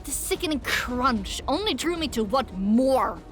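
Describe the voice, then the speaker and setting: High-voiced woman narrating an audiobook over music. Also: animated, unhurried. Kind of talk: dramatic first-person scripted narration. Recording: music under the voice.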